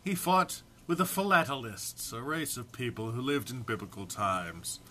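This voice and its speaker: A man's voice: mid-range voice, normal speed, pushed and bright. A man informally introducing and reading comic text aloud in a mock-solemn manner, struggling to keep from laughing. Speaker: amateur reader recording for an online post.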